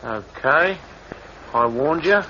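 A menacing gangster in a vintage radio crime drama, with a hard, very forceful voice pitched a little high. He is cold and clipped. The old recording is muffled and noisy. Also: animated, takes it slowly, exaggerated voice. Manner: threatening, clipped. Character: menacing razor-wielding gangster, demanding and cold. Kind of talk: 1940s-50s radio crime drama acting.

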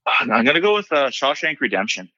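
A man who grew up in Hawaii, a podcast guest answering questions in a relaxed, measured way with dry humor. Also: medium pitch, dry recording. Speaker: podcast guest, self-storage appraiser. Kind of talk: casual interview answers. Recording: slightly dull lo-fi audio.

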